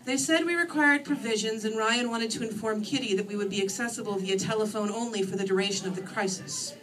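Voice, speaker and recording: A woman voicing a formal, literal-minded female monster with a precise, deadpan and politely bloodthirsty way of talking. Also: on the high side, quick pace, lightly acted. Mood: earnest, pleased. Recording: distant microphone in a room.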